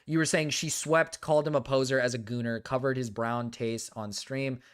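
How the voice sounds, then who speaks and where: A young man streaming casually, excitable and swearing, swinging between loud indignant outbursts and low mumbled asides. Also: moderate pace, clear, resonant tone. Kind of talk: casual reaction stream chat.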